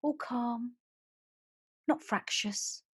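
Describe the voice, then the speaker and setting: Woman as a calm, protective inner voice: slow, husky and soothing. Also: mid-range voice, no echo, clean studio sound. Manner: soft, soothing, confiding. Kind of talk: solo stage monologue.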